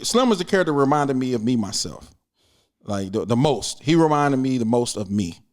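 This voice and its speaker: A man with a Kansas City Midwestern accent and a slight twang, co-hosting a casual movie review podcast in an animated, slangy way, often repeating himself. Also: medium pitch, brisk. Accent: Midwestern American, Kansas City. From Kansas City, Missouri. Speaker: podcast co-host.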